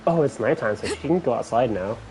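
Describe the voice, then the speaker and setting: A man streaming a game, thinking out loud in a rambling way full of "like" and "you know". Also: medium pitch, expressive, brisk, loud music behind the voice. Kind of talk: casual let's-play commentary.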